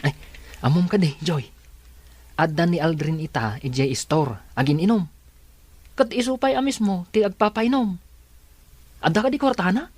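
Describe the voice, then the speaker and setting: A man's voice: high voice, moderate pace, long pauses, darkish tone, slightly rough, no echo. An actor playing a concerned, persistent young male neighbour in a radio drama, with an earnest, insistent delivery.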